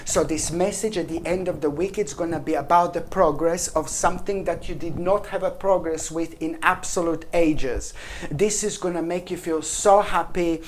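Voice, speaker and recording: A woman with a fairly low voice reads tarot cards for her viewers. She is effusive and repetitive, and she keeps calling the listener endearing names. A faint hum sits under the recording. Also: normal speed. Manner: effusive, repetitive, addresses listener as "my gorgeous" and "baby". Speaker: tarot reader. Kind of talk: weekly tarot reading to camera.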